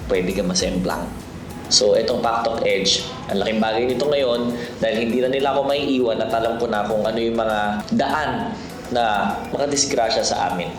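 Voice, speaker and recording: A man's voice: medium pitch, steady tone, moderate pace. A Filipino man narrating a motorcycle vlog in a casual, conversational, explanatory way, mixing Tagalog and English. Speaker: motorcycle vlogger. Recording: roomy echo, loud background music. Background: music.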